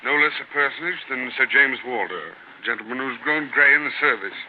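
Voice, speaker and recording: A man's voice: medium pitch, fairly quick, natural voice. An actor playing a pompous, fussy British government man, formal and grave, in a 1940s radio drama. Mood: formal, briefing. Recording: muffled, noisy 1940s radio recording.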